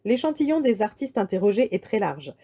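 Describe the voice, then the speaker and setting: A woman reading a scripted lecture in a measured, articulate way with deliberate emphasis. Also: medium pitch, big pitch swings, warm tone, slightly rough. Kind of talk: scripted lecture read aloud.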